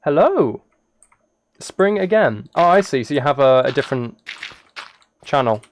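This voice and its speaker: A man's voice: on the high side, big pitch swings, a little slow, a little hiss or hum. A British man streaming a video game, chatting casually in a rambling, self-deprecating and apologetic way. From United Kingdom. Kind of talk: casual livestream chat while gaming.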